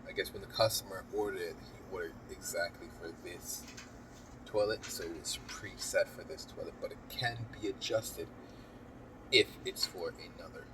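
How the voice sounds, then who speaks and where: A man's voice: low voice, normal speed, distant mic, background noise. A man who is a plumber, giving a rambling, teasing voice-over for a do-it-yourself repair video and talking straight to the viewer. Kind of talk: joking DIY voice-over. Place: small bathroom.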